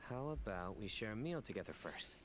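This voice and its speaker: Really deep-voiced lady, composed polite game companion, slight noise behind. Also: animated, brisk. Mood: calm, reassuring. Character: calm, courteous, considerate low-voiced companion. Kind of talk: anime game dialogue acting.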